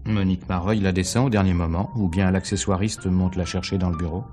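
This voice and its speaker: A man playing a tactful, guarded theatre agent in a radio mystery, speaking in measured, articulate phrases. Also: low-pitched, steady tone, warm tone, soft background music, close to an everyday voice. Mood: explanatory. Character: discreet, tactful male theatre agent under questioning. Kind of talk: 1950s French radio mystery acting.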